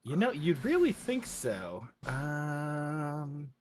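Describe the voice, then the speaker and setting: A man's voice: on the high side, big pitch swings, very slow, a little hiss or hum. A man speaking casually as game master of a tabletop role-playing session.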